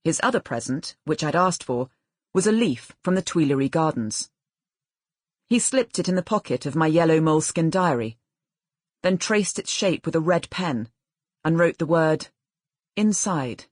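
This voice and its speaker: A woman reading literary fiction as an audiobook narrator in a measured, expressive way. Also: low voice, no room sound, studio quality.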